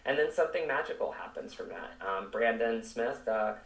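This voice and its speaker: A man's voice: medium pitch, lively intonation, moderate pace, gravelly, a bit bright. A man speaking as an actor in an interview, in an earnest, conversational style, reflective and emphatic, circling back over his phrases. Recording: roomy echo, distant microphone.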